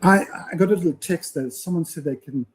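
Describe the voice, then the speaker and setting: A man's voice: on the high side, brisk. A man co-hosting a live show, speaking earnestly in a speechifying way and often adding "right?". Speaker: podcast co-host. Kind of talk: casual live-stream chat.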